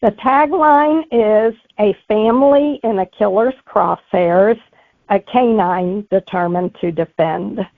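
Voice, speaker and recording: A woman's voice: mid-range voice, takes it slowly. A woman author with a mid-range voice answers interview questions conversationally. She sounds narrow and phone-like, with no room echo. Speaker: author guest. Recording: thin phone-like line.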